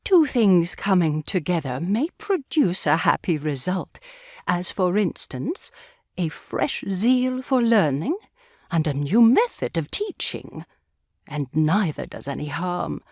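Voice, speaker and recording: Gentle old grandmother, lilting and gracious. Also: on the low side, very animated, dry recording, clean studio sound, full character voice. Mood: gracious, pleased. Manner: gentle, measured, earnest. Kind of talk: full-cast audiobook acting.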